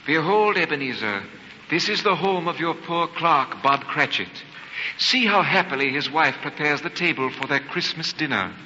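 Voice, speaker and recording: An actor playing a hearty, commanding Christmas spirit in a 1940s radio drama, with declamatory delivery. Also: male voice, fairly high pitch, lively intonation, normal speed, pushed and bright, a little hiss or hum. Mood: exhorting. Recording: dull old radio, reverb, music behind.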